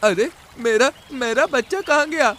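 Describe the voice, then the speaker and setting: Man voicing an earnest high-pitched father pigeon. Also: measured pace, a bit bright, slight background noise. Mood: shocked. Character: trusting father pigeon, earnest and easily upset.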